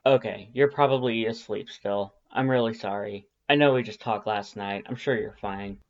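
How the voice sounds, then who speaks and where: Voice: medium pitch, normal speed, highs cut off, natural voice. A narrator voicing a laid-back but anxious male skeleton monster, casual, slangy and apologetic. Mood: worried.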